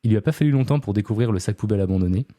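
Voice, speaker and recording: A man's voice: lowish voice, very fast, dark tone, dry recording, clean studio sound. A man hosting a podcast, telling a true-crime story casually, with many fillers and chatty asides to his co-host.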